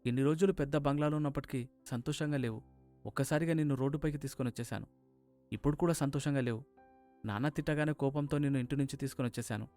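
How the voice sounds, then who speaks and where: Man acting a hurt young husband in a cartoon family tale, earnest, fast talker, music behind him. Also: mid-range voice, a little mellow, close to an everyday voice. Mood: remorseful. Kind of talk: Telugu animated moral-story voice acting.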